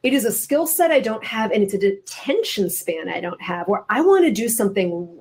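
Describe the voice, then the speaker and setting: A woman's voice: medium pitch, big pitch swings, brisk, a little mellow. Middle-aged American woman in literary conversation. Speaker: interviewer, novelist and teacher.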